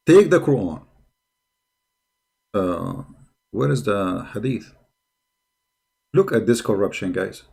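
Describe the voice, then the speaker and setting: A man's voice: on the low side, sing-song, slow, gravelly, long pauses, a little mellow. Combative man. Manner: combative, mocking, repeats phrases and asks rhetorical questions. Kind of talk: spontaneous polemical commentary.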